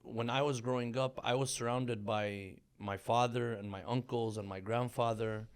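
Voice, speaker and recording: A man in his thirties, born in Iran, a religious scholar speaking as a guest in a relaxed interview with a measured, thoughtful manner. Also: medium pitch, clear, resonant tone. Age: 30s. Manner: measured, thoughtful storytelling with fillers. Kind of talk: conversational interview.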